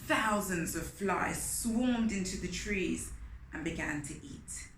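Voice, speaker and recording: A woman reading a story aloud to young children, expressive and often putting questions to her listeners. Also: mid-range voice, slow pace. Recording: roomy echo with faint noise.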